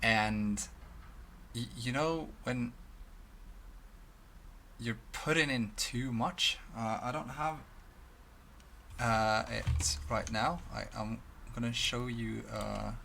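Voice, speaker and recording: A young Swedish man talking to his webcam in English, halting with many restarts and fillers. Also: medium pitch, vocal fry, bright, forceful tone, a little hiss or hum. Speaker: vlogger. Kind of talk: casual talk to camera. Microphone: headphones, desk mic at webcam.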